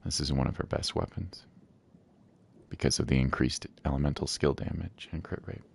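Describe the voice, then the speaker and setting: A man's voice: very deep voice, moderate pace, creaky, a little hiss or hum. A man streaming a game with casual, laid-back commentary, musing aloud as he plays.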